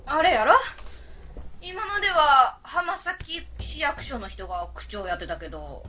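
A woman's voice: high-pitched, expressive, a little slow, bright, forceful tone, full character voice. A woman playing a brash, nosy but good-natured auntie with an Osaka accent in an amateur radio drama, talking bluntly and familiarly. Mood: inquisitive.

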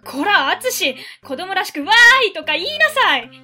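Cast a woman as a high, bright, nagging Kansai mother, lively delivery. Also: moderate pace, soft background music, exaggerated voice. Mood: scolding. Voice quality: sharp, nagging. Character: scolding, embarrassed working mother. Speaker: mother on a factory tour. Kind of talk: amateur web radio drama.

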